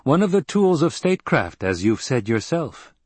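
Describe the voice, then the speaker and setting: A man's voice: mid-range voice, very animated, normal speed, breathy edge, dry recording, voice pushed up. A male narrator voicing a proud young nobleman who speaks with commanding force. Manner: imperious, forceful delivery.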